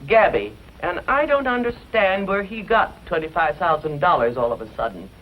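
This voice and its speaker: A woman's voice: lowish voice, expressive, moderate pace, close to an everyday voice. An actress playing a brusque, businesslike older lady in an old western drama, emphatic in manner, on a dull, noisy recording. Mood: puzzled.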